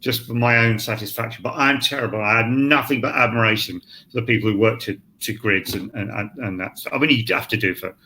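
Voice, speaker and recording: Animated, forceful-voiced male scriptwriter. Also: medium pitch, quick pace, hardly any pauses, a little hiss or hum. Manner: animated, swears, acts out his own thoughts. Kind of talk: candid self-deprecating panel chat. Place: online panel, home set-up. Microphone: video call.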